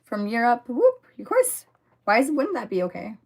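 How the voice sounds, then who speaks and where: A young woman with a voice hoarse from a cold, chatting casually with viewers during a livestream and filling her talk with "like" and "um". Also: a little high, wildly swooping pitch, normal speed, a little mellow. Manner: chatty, filler-heavy, talks to viewers in the comments. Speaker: livestream host. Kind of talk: casual livestream chat while doing makeup.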